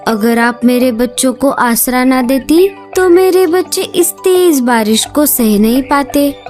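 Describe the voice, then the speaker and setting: Woman doing a high-voiced cartoon mother sparrow, anxious, slow, soft music under. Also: lively intonation. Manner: earnest, anxious. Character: worried loving mother sparrow.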